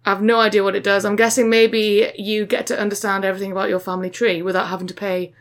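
A woman's voice: mid-range voice, level tone, fast. A woman hosting a podcast, joking her way through card art in casual chat. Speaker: podcast host.

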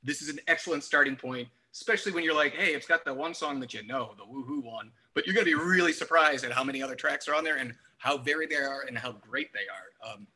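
A man's voice: a little high, fast, hardly any pauses, pushed and bright, husky, low-quality recording. A man chatting casually about music as a podcast panelist, in an opinionated, enthusiastic manner. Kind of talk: casual album discussion.

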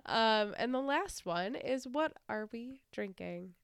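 A chatty woman co-hosting a podcast. Her voice is a bit nasal from a sinus infection, yet it stays very clear and smooth. The sound is dry and close, and she laughs and swears easily. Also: a little high, lively intonation, slow pace, no echo. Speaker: podcast co-host. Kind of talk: casual podcast chat.

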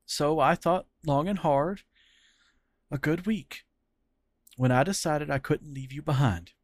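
A man's voice: on the high side, measured pace, no echo, pitched above the performer's own voice, lightly acted. A man voicing a tender young man who earnestly confesses his love, speaking in a raised, emotional voice. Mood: tearful tender.

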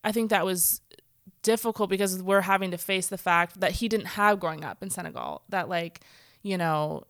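Chatty woman with a smooth voice. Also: fairly low pitch, moderate pace, a bit bright, dry recording, clean studio sound. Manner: chatty, many "like" fillers, acts out quoted speech. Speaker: guest speaker. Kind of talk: casual conversation. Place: church stage.

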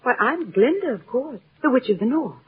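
A woman's voice: high-pitched, very animated, normal speed, breathy edge, full character voice. An actress playing a gracious, self-assured good witch in a grand, lilting, theatrical old radio drama style. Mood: gracious, knowing. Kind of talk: 1930s-50s radio drama acting. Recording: tinny old radio broadcast, faint music.

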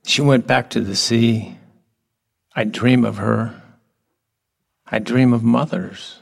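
Slow-talking, whimsical middle-aged oddball. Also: male voice, mid-range voice, fairly even intonation, creaky, slightly rough, heavily acted. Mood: wistful, dreamy. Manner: whimsical, halting, earnest, oddball confessions. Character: odd, playful, lonely middle-aged workman. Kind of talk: audio drama acting.

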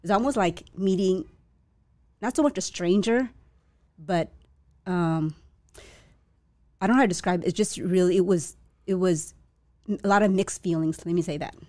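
A woman's voice: lowish voice, moderate pace, long pauses, dark tone, no echo. A young woman born to a Chinese family in Vietnam, speaking as an interview guest in a hesitant, reflective way with many restarts. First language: a Chinese dialect. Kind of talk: casual interview.

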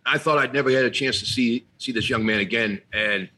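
A man co-hosting a podcast, speaking in an emotional, halting way about an old comrade. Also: mid-range voice, sharp tone, slight background noise, poor audio. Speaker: podcast co-host. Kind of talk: conversational tribute.

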